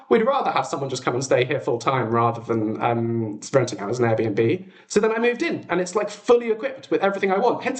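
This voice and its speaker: A British man hosting a casual livestream, chatting in a rambling, explanatory way with tangents. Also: a little high. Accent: British English. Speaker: livestream host, robotics researcher. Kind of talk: casual livestream chat to viewers. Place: small furnished flat, indoors.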